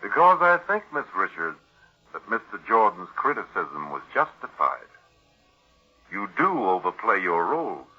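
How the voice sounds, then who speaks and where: A shrewd, grave doctor in an old radio mystery. His husky, mid-pitched voice swings expressively as he probes deliberately. The vintage recording is muffled. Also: big pitch swings, heavily acted. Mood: accusing. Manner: deliberate, probing, accusatory. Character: shrewd, grave male family doctor exposing a killer. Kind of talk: 1940s radio drama acting.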